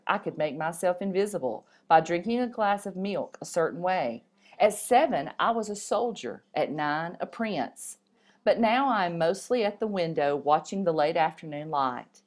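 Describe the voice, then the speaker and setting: Low-voiced woman reading aloud. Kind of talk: reading a story and poem aloud.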